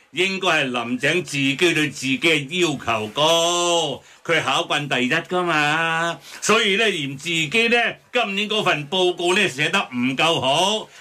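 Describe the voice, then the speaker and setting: Brash, sharp-voiced scornful man. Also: on the high side, full character voice. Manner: blustering, dismissive, argumentative. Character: brash, scornful middle-aged man defending the government. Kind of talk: radio drama dialogue.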